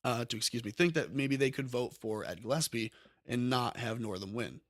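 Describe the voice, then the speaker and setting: Male host, mid-pitched, meandering political commentary in a dead-dry room. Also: normal speed, fairly bright tone, no room sound. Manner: rambling, frequent ums and self-corrections. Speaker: podcast host.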